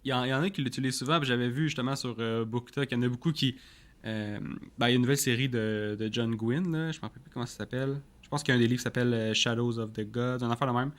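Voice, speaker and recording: A man's voice: medium pitch, very fast. Quebec french guy co-hosting a book podcast, mid voice, rambles casually. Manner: loose, rambling, colloquial. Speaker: podcast co-host. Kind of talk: casual chat about books.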